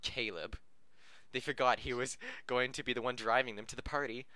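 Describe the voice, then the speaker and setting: Bright-voiced man reading aloud, close and dry. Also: medium pitch, steady tone, brisk, dry recording. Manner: breaks off to laugh, joke and correct misreadings. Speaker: podcast host reading fan fiction aloud. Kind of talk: amateur story reading with joking asides.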